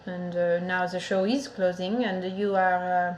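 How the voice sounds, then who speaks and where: Audio drama: actress plays a brusque, tender foreign stagehand woman, anxious, hesitant broken English, flat and low-toned, roomy sound. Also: unhurried, a little hiss or hum, close to an everyday voice. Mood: hesitant, sad.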